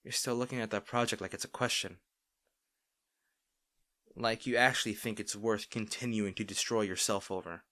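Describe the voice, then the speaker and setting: A man's voice: on the low side, fairly even intonation, moderate pace, pauses often, sharp tone. A man playing a caring, worried boyfriend in an intimate roleplay audio drama, earnest and pleading. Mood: concerned, serious. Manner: earnest, pleading, emphatic, with sighs.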